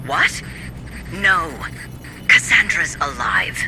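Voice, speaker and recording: A formal, evasive corporate administrator in a fairly high voice. The delivery is polite and careful dramatic game acting, with a music score behind it. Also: male voice, big pitch swings, exaggerated voice, rough recording. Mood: apologetic, pleading. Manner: formal, measured wording.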